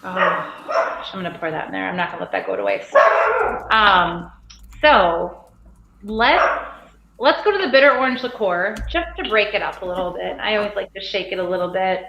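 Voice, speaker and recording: A woman's voice: mid-range voice, expressive, normal speed, gravelly, a bit bright. A woman in her forties, a guest distiller, chatting casually and rambling with easy laughs over a video call. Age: 40s. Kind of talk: casual livestream chat. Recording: echoey, dull, faint clicks.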